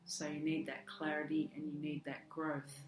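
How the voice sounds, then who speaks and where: A woman with an Australian accent who is losing her voice, giving a chatty tarot card reading with soft laughs and fillers. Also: sits low, monotone, normal speed. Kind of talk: casual direct-to-camera tarot reading. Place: home room with garden work outside. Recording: echoey room, faint outdoor garden work noise.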